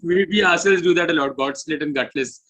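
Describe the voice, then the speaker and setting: A man's voice: fairly high pitch, brisk, a bit bright. A man with an Indian accent talking informally as a musician guest in an interview, chatty and inclined to joke. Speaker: bassist in a death metal band, interview guest. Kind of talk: casual interview chat.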